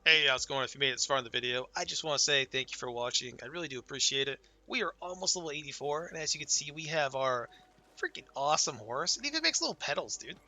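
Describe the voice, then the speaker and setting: A young man casually talking through his game character, laid-back and excited, his voice worn and going hoarse from a cold. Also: on the high side, fast. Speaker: gaming channel host. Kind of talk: casual gameplay commentary.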